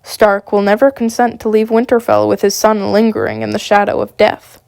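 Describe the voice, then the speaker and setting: A smug young knight with a cruel streak, read by a woman who is sick. He speaks with easy mockery in a gravelly voice. The sound is dry and close. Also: mid-range voice, flat delivery, normal speed, a little mellow, no room sound, lightly acted.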